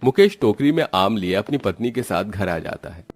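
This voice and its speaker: A man's voice: fairly low pitch, animated, normal speed. A man narrating a scripted cartoon horror story as the storyteller.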